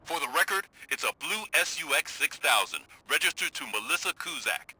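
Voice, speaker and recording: An actor playing a gruff, harried police sergeant in a video game, brusquely giving orders in a husky, forceful voice. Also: male voice, a little high, animated, a little fast, heavily acted, rough recording. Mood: brusque, urgent.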